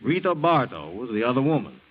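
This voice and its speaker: Insistent police interrogator, muffled recording. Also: male voice, medium pitch, sing-song, fairly quick. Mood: insistent, accusing. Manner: probing, pointed, formal. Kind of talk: 1940s radio acting. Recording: dull vintage radio recording.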